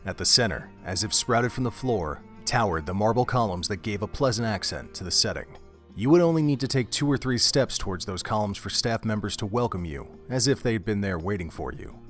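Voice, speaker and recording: A man narrating an audio drama in a steady, descriptive storytelling manner. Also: lowish voice, a little fast, soft background music.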